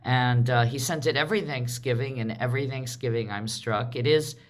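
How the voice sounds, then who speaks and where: A woman casually hosting a live online theatre event on a video call, talking in halting phrases and checking in with her listeners. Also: very deep voice. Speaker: host of a live theatre discussion.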